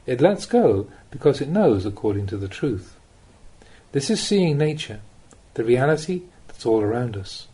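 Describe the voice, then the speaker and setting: A man reading a spiritual teaching aloud as an audiobook, with measured, deliberate phrasing and pauses. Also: medium pitch, big pitch swings, dark tone, breathy edge. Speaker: Buddhist monk reading recorded teachings. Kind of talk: audiobook reading of a Dhamma talk.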